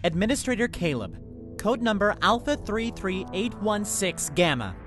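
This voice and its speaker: A man playing a brisk high-voiced administrator. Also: very animated, over music. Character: brisk male station administrator. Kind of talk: fan dub of a sci-fi anime parody.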